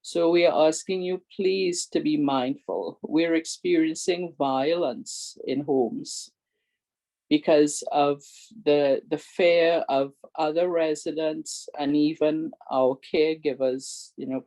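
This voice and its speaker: A woman's voice: sits low, mellow, poor audio. An older woman with a big, strong voice gives an earnest community awareness talk and makes repeated, pleading requests to her listeners. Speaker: presenter and advocate.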